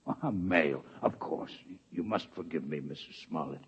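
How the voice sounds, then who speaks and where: A very low, husky voice for a courteous alien male doctor in a 1950s radio play. He speaks formally and haltingly, as if his mouth isn't shaped for the words. The broadcast sound is dull and old. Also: exaggerated voice. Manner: formal, halting, muffled speech. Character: polite alien male doctor, bovine face. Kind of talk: 1950s radio drama acting.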